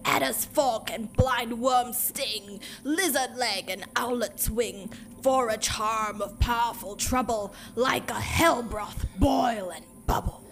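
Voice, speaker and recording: A woman playing an eerie witch, chanting dark spells rhythmically in a dramatic Shakespeare reading. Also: on the high side, slow, few pauses. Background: faint ominous music.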